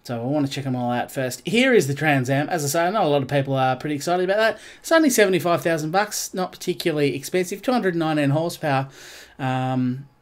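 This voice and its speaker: A man's voice: fairly high pitch, animated, fast talker. A man commentating casually while he streams a racing game, stuffed up and nasal, rambling and greeting his viewers as he goes. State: congested, nasal.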